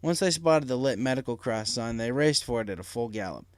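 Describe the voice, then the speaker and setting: A man's voice: medium pitch, expressive, a little fast, dry recording. A man narrating a dramatic story from a script as an audiobook reader. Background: faint music.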